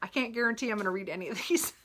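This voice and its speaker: A woman's voice: on the high side, very fast, a bit bright. A woman chatting casually about her reading list as a book vlogger, rambling and giggly, often correcting herself and apologizing. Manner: giggles often while talking.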